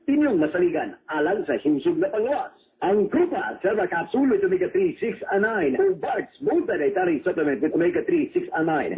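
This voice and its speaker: A man's voice: high voice, darkish tone, low-quality recording. A man with a Cebuano Filipino accent reading scripted advertising narration as a formal, emphatic radio announcer.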